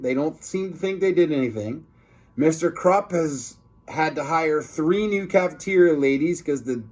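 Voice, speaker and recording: A man reading a storybook aloud to children in an expressive, teacherly way, with asides to his young listeners. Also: medium pitch. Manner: slow, deliberate, teacherly.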